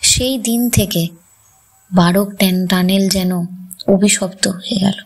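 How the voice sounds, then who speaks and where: A woman host narrating a scripted horror story in a measured, suspenseful storytelling manner. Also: mid-range voice, fairly even intonation, breathy edge.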